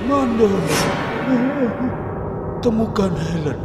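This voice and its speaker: A man voicing the ghost of a foreign man who keeps searching for his child, with slow, eerie commands. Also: expressive, heavy background noise, heavily acted. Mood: eerie, pleading. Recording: echoey, high ghost voice.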